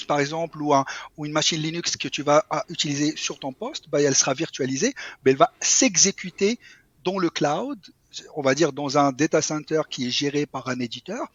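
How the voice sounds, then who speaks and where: A man's voice: a little high, fairly bright tone, breathy edge, dry recording, dull audio. A man in his thirties from Morocco, a podcast guest, explaining his work in a friendly way with some fillers. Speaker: guest, cloud architect. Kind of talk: casual interview.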